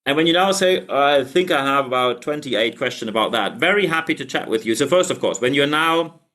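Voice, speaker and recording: A man's voice: medium pitch, expressive, a little fast, pushed and bright. A man hosting a solo leadership podcast, not a native English speaker, talking emphatically and repeating his thanks and calls to action. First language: German. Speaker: podcast host.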